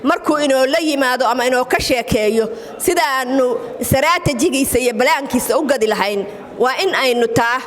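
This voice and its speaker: High voice, emotional public speech, reverberant hall. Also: a bit bright. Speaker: community speaker endorsing a candidate.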